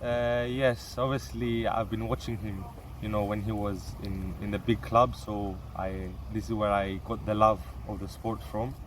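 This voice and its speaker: Low-voiced young man, off-mic outdoors. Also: fairly even intonation, distant mic, a little hiss or hum. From Cyprus. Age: young adult. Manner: soft-spoken, halting with restarts and fillers. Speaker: interviewee, young footballer. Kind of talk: casual interview answers.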